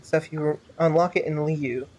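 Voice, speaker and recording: A woman's voice: low-pitched, steady tone, unhurried, darkish tone. A young woman giving casual live gameplay commentary as a streamer, musing aloud in a rambling way. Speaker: game streamer. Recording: stream with faint game music behind.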